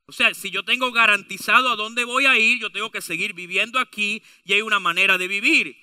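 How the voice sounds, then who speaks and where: A male preacher with a Latin American Spanish accent delivers a sermon in a high-pitched voice. The tone is strikingly bright and forceful, captured dry and close. He speaks emphatically, posing rhetorical questions and restating his points. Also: brisk, smooth, dry recording.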